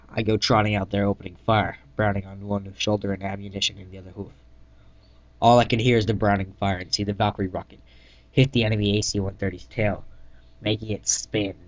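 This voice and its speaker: A man giving an amateur dramatic reading of his own story, halting and often stumbling and correcting himself. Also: lowish voice, steady tone. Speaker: reader of own fan fiction. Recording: dull lo-fi mic in echoey room.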